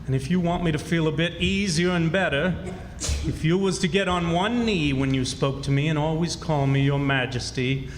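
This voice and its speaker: A man's voice: fairly high pitch, normal speed, slight background noise, close to an everyday voice. A man acting a grey-haired old swindler who poses as an exiled French king, folksy and mock-solemn, in old-time radio drama style. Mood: pompous, sorrowful. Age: older. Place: stage in a reverberant historic club hall. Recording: echoey hall stage performance with music.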